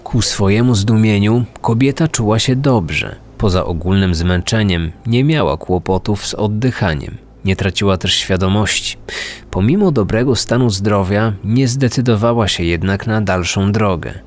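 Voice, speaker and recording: A man's voice: on the low side, moderate pace, a little hiss or hum. A man narrating a scripted true-crime podcast. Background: faint music.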